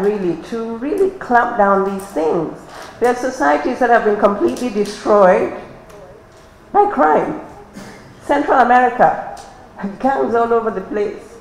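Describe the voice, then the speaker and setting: A woman from Cameroon speaking unscripted at a workshop, earnest and passionate, with some fillers. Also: a little high. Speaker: workshop participant. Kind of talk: unscripted remarks. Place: conference hall. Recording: echoey conference hall, faint noise.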